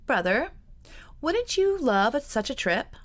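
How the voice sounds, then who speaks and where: A woman reading aloud voices a young girl who coaxes her elders with sweetly insistent charm. Her voice rides high and lively above the narration, and she pushes without ever turning rude. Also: measured pace. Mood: pleading. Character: eager sixteen-year-old girl, sly and pleading.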